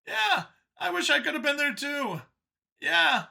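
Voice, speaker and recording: A man voicing an anxious alien father who pleads and gushes haltingly in a strained voice, for a story. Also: sing-song, gravelly, bright, forceful tone, full character voice. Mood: eager, awkward. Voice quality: high, strained voice. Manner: halting, hesitant, gushing and apologetic by turns.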